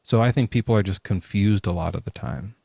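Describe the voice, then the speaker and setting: A man's voice: lowish voice, fairly quick, creaky, warm tone, husky, no room sound, studio quality. A male podcast host talking casually from his garage in a jokey, loose way, with plenty of swearing. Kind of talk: casual debate chat. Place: home garage.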